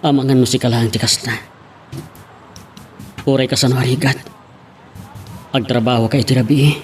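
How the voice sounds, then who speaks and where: A man's voice: medium pitch, little pitch movement, normal speed, a little mellow, soft background music, lightly acted. A man playing a devoted, persistent young male suitor in an Ilocano-language radio drama. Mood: earnest, reassuring.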